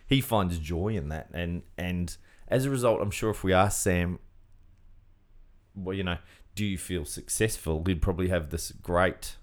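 Low-pitched male podcast co-host, rambling and agreeable. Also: unhurried. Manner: thinking aloud, frequent restarts, agreeable. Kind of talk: casual chat.

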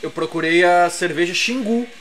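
A man's voice: sits high, normal speed, fairly bright tone. A man with a Porto Alegre accent, co-hosting a podcast and chatting casually over beer, eagerly running through lists of brands. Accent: Porto Alegre Portuguese. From southern Brazil. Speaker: podcast co-host.

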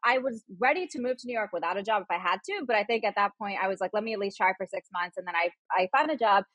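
A woman in her forties, a journalist, chatting quickly as a podcast guest, full of "like" and "you know". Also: medium pitch, lively intonation, a bit bright, no room sound. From United States. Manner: quick, chatty storytelling with frequent "like". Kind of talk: casual interview conversation.

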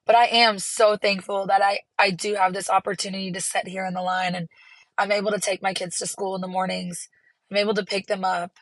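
Woman with a raspy voice, hoarse from laryngitis, earnest podcast host, dry close sound. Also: medium pitch, level tone, fairly quick, no echo. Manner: earnest, encouraging, rambling with restarts. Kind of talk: casual solo podcast talk. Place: parked car.

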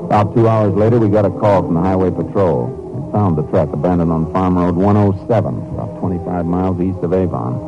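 A steady American Texas Ranger in an old radio detective drama. His voice is very deep, his questions clipped and matter-of-fact. The sound is a dull vintage broadcast recording. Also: fairly quick, few pauses, music in the background. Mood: matter-of-fact narrating. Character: steady, probing male Texas Ranger investigator.

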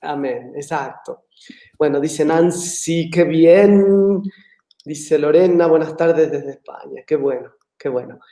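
A man's voice: a little high, takes it slowly, mellow. A man hosting a casual live-stream talk, friendly and reading out viewer greetings. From Latin America, living in Mexico. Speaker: host of a live online talk. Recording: echoey room.

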